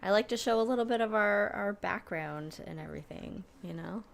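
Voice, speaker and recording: A woman's voice: medium pitch, a little fast, creaky. Woman, podcast co-host, casual rambling chat, mid-range voice. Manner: chatty, rambling, laughs easily.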